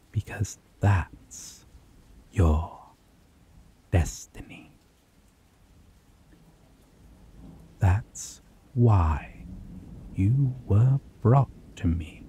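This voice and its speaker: A man voicing a possessive, obsessive male vampire in an ASMR roleplay, speaking softly and menacingly and slipping into whispers. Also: low-pitched, slight background noise. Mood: whispered threat. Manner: slow, soft-spoken, menacing, drifts into whispers.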